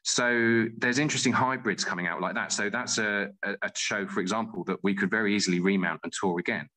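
A man with a very low, bright and forward voice talks as a guest in an interview. He feels his way through sentences, often stopping to rephrase. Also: brisk, low-quality recording. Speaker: guest, theatre company director and playwright. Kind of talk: interview conversation.

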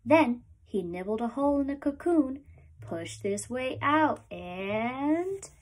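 A young woman, a preschool teacher, reading a picture book aloud to small children at home in a gentle, animated storytime voice. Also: high voice, slow. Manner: gentle, animated storytime voice, lifts up on repeated lines. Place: small room at home, indoors.

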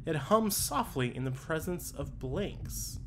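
A young man giving casual, excitable commentary while playing a video game, often exclaiming and stumbling over his words. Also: on the high side, takes it slowly. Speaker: gaming YouTuber. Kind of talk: casual let's play commentary. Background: game music.

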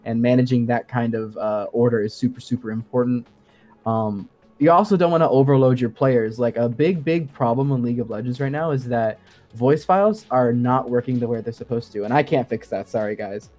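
Bright-voiced man explaining his craft casually. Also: medium pitch, brisk, faint music behind. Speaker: guest sound designer. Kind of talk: casual podcast discussion.

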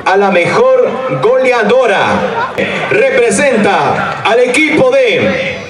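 A man emceeing an awards ceremony, calling out winners in an emphatic, drawn-out ceremonial way. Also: extremely high pitch, sing-song. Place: outdoor stage at a sports field. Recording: echoey outdoor PA, distant, loud crowd.

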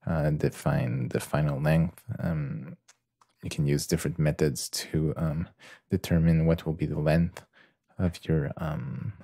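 A man presenting a casual software tutorial in a hesitant, halting way with many pauses. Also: very deep voice, dry recording, studio quality.

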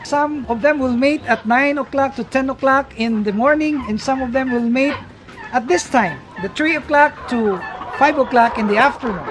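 A man's voice: very high voice, moderate pace, noisy background. A middle-aged man, a farm vlogger, giving an upbeat casual tour of his outdoor farmyard and coop while explaining to viewers.

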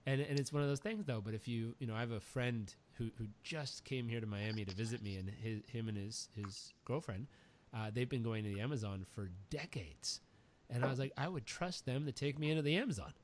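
Man, podcast host, average pitch, enthusiastic, rambling storyteller, faint background hiss. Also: a little fast. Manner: enthusiastic, rambling, repeats words when starting. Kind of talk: casual interview chat, storytelling.

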